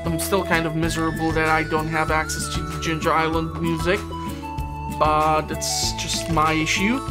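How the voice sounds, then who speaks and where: A woman's voice: deep voice, flat delivery, moderate pace, over loud music. A woman casually commenting on her farming game, rambling and tripping over words with joking asides. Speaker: gameplay commentator. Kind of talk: casual let's-play commentary. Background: game soundtrack.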